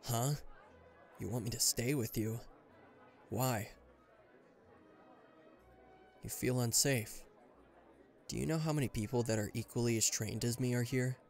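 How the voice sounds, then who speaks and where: A man's voice: medium pitch, fairly even intonation, moderate pace, creaky, pauses often, darkish tone, faint music behind, natural voice. A man playing an aloof, reluctantly caring bodyguard in a roleplay audio drama, talking to the listener in a dry, guarded, mildly exasperated way. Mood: polite, professional. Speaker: voice actor.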